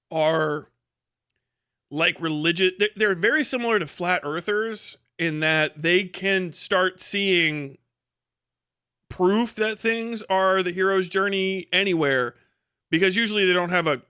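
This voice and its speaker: A man with a fairly high, crisp voice talks off the cuff on a livestream. The sound is bright and very dry. He explains things casually and haltingly, swearing freely. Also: dry recording. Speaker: live streamer reacting to a video.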